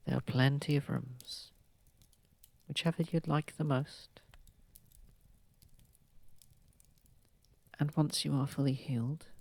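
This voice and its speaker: An actor voicing a posh, gentle, protective vampire lord in an ASMR roleplay, soft-spoken, husky and unhurried, close to the microphone. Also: medium pitch, dry recording. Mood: tender, courteous. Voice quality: breathy, husky, slightly creaky. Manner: soft-spoken, soothing, unhurried, slightly formal. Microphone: close microphone, dry.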